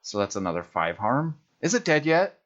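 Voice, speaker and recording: A man's voice: mid-range voice, sing-song, moderate pace, a bit bright, highs cut off. A man playing a tabletop roleplaying game, talking through his moves and dice rolls in casual table talk.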